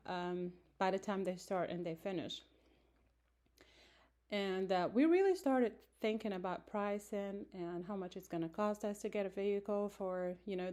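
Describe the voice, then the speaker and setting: A woman in her forties, from Morocco and raised in France, introducing herself to a class in an unhurried way with many fillers and self-corrections, recorded on a close-held phone in a small room. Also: medium pitch, warm tone.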